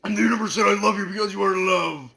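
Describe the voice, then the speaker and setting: A man with a high, bright voice and a heavy gravelly fry reads aloud for comedy. His delivery is earnest and solemn. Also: fast. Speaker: reader. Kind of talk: comedic dramatic reading.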